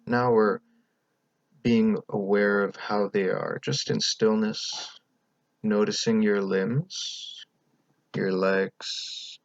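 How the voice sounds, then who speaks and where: A man leading a guided meditation as the teacher, speaking in a calm, gentle, unhurried way with pauses between instructions. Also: on the low side, dry recording, muffled recording.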